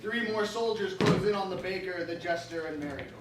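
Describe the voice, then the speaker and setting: High-voiced man narrating in a very echoey room. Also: brisk. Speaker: narrator reading stage directions. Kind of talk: scripted narration. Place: reverberant indoor space, far from the mic. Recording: very echoey, noisy room, distant microphone.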